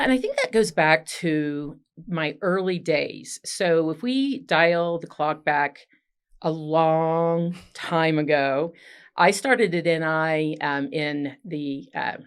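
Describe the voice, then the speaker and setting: A woman in her fifties who is a podcast guest. She speaks loudly and outspokenly and tells her career story in long runs. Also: low-pitched, clear, resonant tone. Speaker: guest, software engineering leader. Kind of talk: casual podcast interview.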